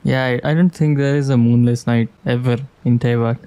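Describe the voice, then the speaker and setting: Mellow man musing through a game. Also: medium pitch, fairly even intonation, fairly quick, smooth. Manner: musing aloud, short reactive remarks. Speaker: gamer playing and reacting. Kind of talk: casual playthrough commentary. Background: video game music.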